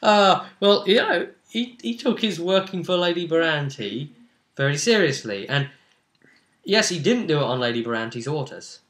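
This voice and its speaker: A man retelling a tabletop roleplay session as game master, in animated, emphatic conversational storytelling. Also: fairly high pitch, moderate pace.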